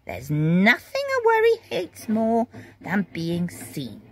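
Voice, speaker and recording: A woman's voice: medium pitch, wildly swooping pitch, slow, slight background noise. A woman voicing a kindly, firm old lady neighbour in a children's storybook, speaking emphatically and reassuringly. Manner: emphatic, exclaiming, instructing.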